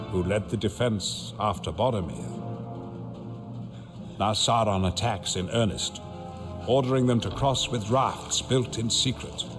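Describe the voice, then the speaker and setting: An actor playing a grave, commanding old wizard who narrates a fantasy war in a solemn, deliberate, portentous way. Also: male voice, on the low side, lightly acted. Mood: grave, urgent. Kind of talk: dramatic scripted game narration. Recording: music behind the voice.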